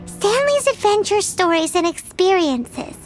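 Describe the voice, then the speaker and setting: A woman's voice: very high-pitched, very animated, unhurried, exaggerated voice. A woman voicing a tiny childlike fairy companion, cartoonish and well-meaning, in a video game. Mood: hesitant, consoling. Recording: music under the voice.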